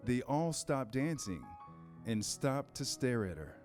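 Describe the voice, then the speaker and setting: A man's voice: medium pitch, very animated, takes it slowly, a little mellow, soft background music. A man reading scripted storybook narration in a staged reader's theater style.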